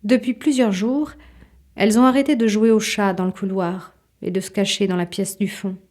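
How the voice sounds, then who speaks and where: Mellow woman narrating audio fiction. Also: medium pitch, fairly even intonation, moderate pace. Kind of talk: second-person literary narration in an audio fiction.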